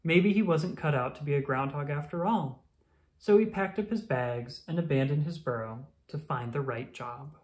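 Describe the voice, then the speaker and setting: Expressive storybook reader, medium-pitched voice. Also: normal speed. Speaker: picture book reader. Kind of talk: children's story read-aloud.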